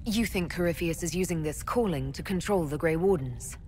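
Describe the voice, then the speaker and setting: An actress playing a composed, purposeful female leader in a fantasy game, husky and measured. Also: on the low side, a little mellow, slight background noise, close to an everyday voice. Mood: questioning. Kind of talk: dramatic fantasy game acting.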